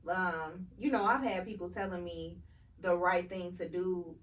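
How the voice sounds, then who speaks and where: A woman's voice: fairly low pitch, steady tone, measured pace, a bit bright, far from the mic, rough recording. A woman in her thirties, a podcast guest talking candidly and casually about her life, with an easygoing manner. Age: 30s. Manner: laid-back, laughs things off, candid. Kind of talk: casual interview chat.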